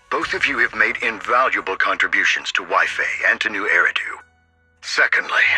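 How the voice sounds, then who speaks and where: Formal game statesman: earnest, measured, sharp forceful tone, lowish register, radio-call sound. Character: earnest dignified male mayor bestowing an honor. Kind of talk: formal scripted game dialogue.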